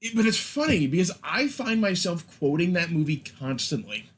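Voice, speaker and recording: A man with a fairly high, very varied pitch talks casually as a podcast panelist. His delivery is wry and halting as he discusses films. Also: big pitch swings. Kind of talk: casual film chat.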